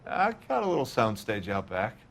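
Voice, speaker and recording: A man's voice: fairly low pitch, wildly swooping pitch, moderate pace, vocal fry, a bit bright, a little hiss or hum, full character voice. An actor playing a nervous male prop store owner in a 1940s noir video game, answering in a startled, edgy way.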